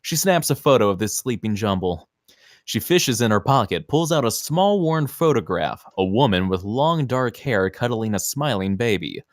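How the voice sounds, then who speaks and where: A man's voice: lowish voice, very animated, moderate pace, dry recording, studio quality. A man narrating the stage directions of a screenplay at a table read.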